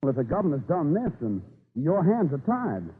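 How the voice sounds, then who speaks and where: An actor playing an idealistic young colonial gentleman, a dutiful son, earnest and fervent in a 1930s radio drama. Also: a little high, big pitch swings, normal speed, phone-quality sound. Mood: eager. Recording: thin, noisy 1930s radio recording.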